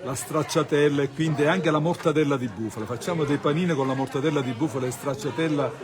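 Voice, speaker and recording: A man's voice: fairly high pitch, fast. A man speaking casually as a food vendor in an on-the-street interview. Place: outdoor food stand at a festival. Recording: outdoor street with crowd chatter.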